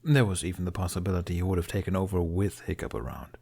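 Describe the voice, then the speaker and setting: A man's voice: deep voice, fast, vocal fry, a little mellow, slightly rough. A man reading a story aloud as an audiobook narrator, in a scripted, dramatic storytelling style.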